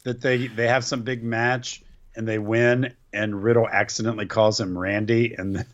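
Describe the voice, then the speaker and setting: A man's voice: lowish voice, little pitch movement, normal speed, lo-fi sound. A man co-hosting a wrestling podcast, adding wry, skeptical comments to his partner's recap. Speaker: podcast co-host. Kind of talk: casual wrestling chat.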